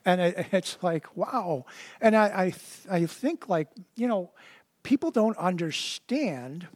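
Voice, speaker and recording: A man preaching a sermon, reading scripture slowly and deliberately, then talking it over in a conversational way and often repeating words as he starts a sentence. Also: high voice, lively intonation, no echo, clean studio sound.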